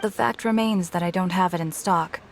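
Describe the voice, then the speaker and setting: An actress playing a frank, composed bookshop keeper in a video game, matter-of-fact, over music. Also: female voice, lowish voice, moderate pace, lightly acted.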